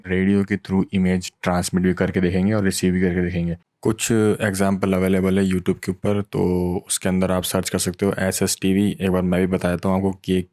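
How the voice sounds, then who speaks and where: A man's voice: low-pitched, darkish tone, dry recording, clean studio sound. A man explaining technology in a casual, informal tutorial style, mixing in English technical terms. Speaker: tech tutorial host.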